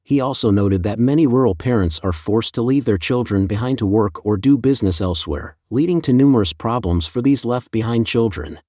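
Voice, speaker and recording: A man narrating scripted news in a formal, measured, read-aloud manner. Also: medium pitch, expressive, dark tone, dry recording, studio quality.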